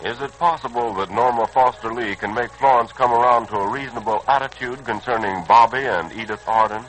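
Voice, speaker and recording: A male announcer narrates in 1940s radio serial style. His voice is mid-pitched, with light music underneath on an old recording. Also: brisk, low-quality recording. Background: faint music.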